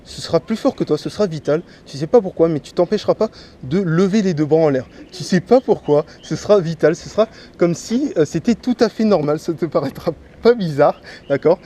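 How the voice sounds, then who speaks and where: A man from Martinique with a slight head cold, working as a street hypnotist. He gives a volunteer slow, repeated commands that drop soft and low. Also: lively intonation. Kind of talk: stage hypnosis patter with a volunteer. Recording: noisy crowd around the mic. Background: chattering crowd.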